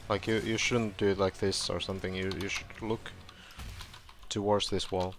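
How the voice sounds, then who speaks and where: A man casually talking through a game walkthrough, relaxed and rambling with fillers, his voice going hoarse. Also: low-pitched, moderate pace. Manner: relaxed, rambling, with frequent fillers and self-corrections. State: hoarse, clearing his throat. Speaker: gameplay tutorial streamer. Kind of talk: casual gameplay walkthrough commentary.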